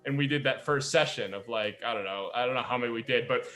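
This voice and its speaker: A man's voice: medium pitch, quick pace, fairly bright tone, soft background music. A young man hosting a casual group chat, talking in a rambling, jokey way. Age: young adult. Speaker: podcast host.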